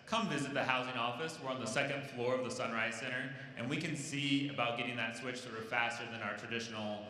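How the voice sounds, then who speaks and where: A man's voice: medium pitch, fairly even intonation, fast, sharp tone, a little hiss or hum. A man from a university housing office explaining procedures to parents in an auditorium. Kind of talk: informational talk to parents. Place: large auditorium stage. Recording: echoey hall with long reverb.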